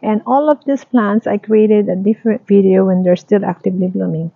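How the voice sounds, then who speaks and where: A woman giving a casual tour of her garden, talking in a slow, halting, plain way. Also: medium pitch, steady tone, no room sound. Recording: dry, tinny phone-like sound.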